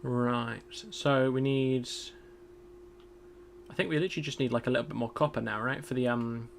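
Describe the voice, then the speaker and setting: A man streaming a game in casual commentary. He is chatty and self-deprecating, thinks aloud, and has a loud voice. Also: mid-range voice, fairly even intonation, normal speed, gravelly, slight background noise.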